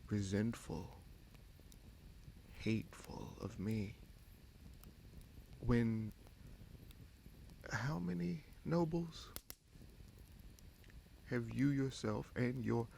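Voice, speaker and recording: A man playing a scheming sorcerer prince in a fantasy audio roleplay, smug and coolly menacing, with a gravelly voice and slow, deliberate, taunting delivery. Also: medium pitch, lively intonation, mellow, slight background noise, heavily acted, rough recording. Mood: cold accusing. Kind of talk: fantasy audio roleplay, dramatic monologue addressed to listener.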